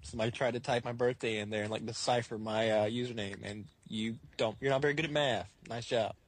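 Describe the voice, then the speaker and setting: A man in his twenties hosting a podcast, chatting in a blunt, casual way with a husky voice. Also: medium pitch, steady tone, quick pace, dry recording. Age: 20s. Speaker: podcast host. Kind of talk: casual chat.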